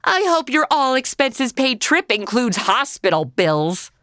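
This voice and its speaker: Actress playing a sassy, lively American wisecracker. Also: female voice, sits high, a little slow, no echo, clean studio sound, exaggerated voice. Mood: sarcastic. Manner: sarcastic, modern slangy delivery. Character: sassy modern young American woman tourist, flippant, wisecracking. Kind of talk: comedy audio drama acting.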